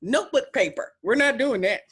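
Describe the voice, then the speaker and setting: A young woman with a deep voice hosting a podcast, chatting in an animated, emphatic way with playful repetition. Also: fairly quick, breathy edge. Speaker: podcast host. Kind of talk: casual chat.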